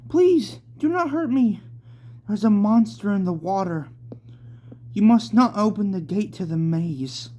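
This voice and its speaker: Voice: mid-range voice, lively intonation, a little slow, mellow, voice pushed up, lightly acted. A narrator voicing a frightened, pleading twelve-year-old girl in an amateur manga read-aloud. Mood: pleading, scared.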